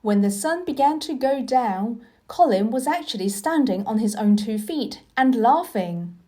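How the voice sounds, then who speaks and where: A woman reading scripted narration for children like a teacher, deliberate and expressive. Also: on the high side. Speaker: storybook reader, teacher.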